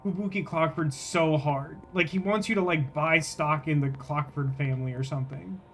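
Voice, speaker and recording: A man doing live game commentary, halting and rephrasing, with a wryly exasperated manner. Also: a little high, soft background music. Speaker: game streamer. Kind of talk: live game commentary mixed with reading dialogue aloud.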